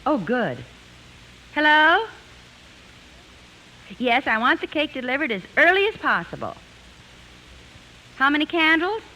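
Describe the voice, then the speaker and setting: A woman's voice: high voice, big pitch swings, a little slow, pauses often, sharp tone, full character voice, poor audio. An actress playing a wry, self-assured single woman in a 1950s radio comedy. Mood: breezy. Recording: hissy 1950s radio, studio audience.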